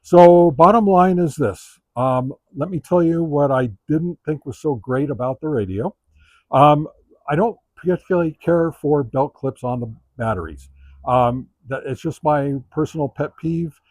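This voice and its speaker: A man giving a casual, unscripted product review as host, talking in a halting, conversational way as he thinks aloud. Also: on the high side, darkish tone, no room sound.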